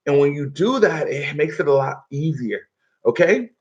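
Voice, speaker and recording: Higher-pitched male teacher on muffled livestream. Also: animated, moderate pace. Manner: instructive, checks in often with "right?" and "okay?". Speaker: schoolteacher. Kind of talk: live online reading lesson for pupils. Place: living room at home. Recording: dull, lo-fi livestream audio.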